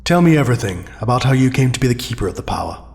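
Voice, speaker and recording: A man's voice: medium pitch, big pitch swings, racing, gravelly, a little mellow. Man acting as grave, ancient alien sage. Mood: solemn, probing. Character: ancient solemn alien sage and power-forger. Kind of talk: audio drama acting.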